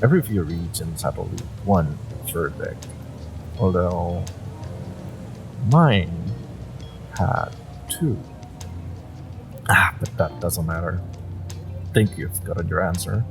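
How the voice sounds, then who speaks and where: A low, slow-talking jaded driver with a secret past, acted by a man in an audio roleplay. He is wry and world-weary, speaking in hesitant, offhand bits over clearly audible music. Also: animated, full character voice. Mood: dismissive. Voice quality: low, gravelly. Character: jaded, evasive male Omega driver, secretly a former judge. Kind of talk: intimate conversational audio roleplay acting. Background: music and background ambience.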